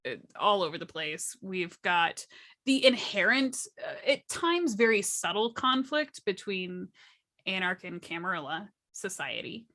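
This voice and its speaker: Bright-voiced woman in casual discussion. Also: medium pitch, lively intonation, a little slow. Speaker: panelist. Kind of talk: casual panel discussion of tabletop gaming.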